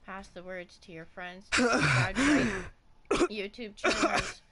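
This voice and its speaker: A feminine-sounding voice in the thirties, from Canada, giving a casual, somewhat hesitant stream greeting. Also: female voice, medium pitch, animated, measured pace, a bit bright. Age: 30s.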